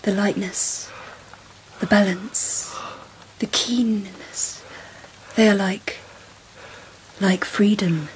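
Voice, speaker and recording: A young empress in an intimate radio drama. Her voice is soft, breathy and deliberate, often sinking toward a whisper, yet it carries bold command. A clear ambient noise sits behind her. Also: mid-range voice, fairly even intonation, low-quality recording. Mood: bold, exhilarated.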